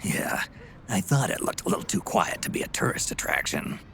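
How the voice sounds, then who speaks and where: An actor playing a weary, gruff aging soldier in a video game, with a gravelly growl and a terse, fatalistic manner. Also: male voice, fairly low pitch, very animated, normal speed, slight background noise, heavily acted. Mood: wary, questioning. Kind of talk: dramatic video game cutscene acting. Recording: loud music behind the voice.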